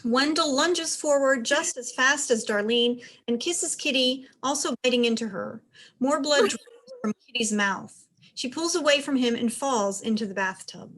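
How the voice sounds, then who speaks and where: A woman's voice: sits high, normal speed, fairly bright tone, low-quality recording. A woman giving scripted narration, reading out the stage directions of a play.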